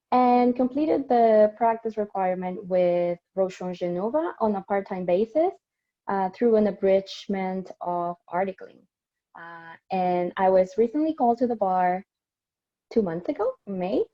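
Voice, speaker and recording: A woman in her thirties from Peru with a Peruvian Spanish accent, introducing herself as a webinar panelist. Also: medium pitch. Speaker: panelist, lawyer. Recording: dull lo-fi webinar audio.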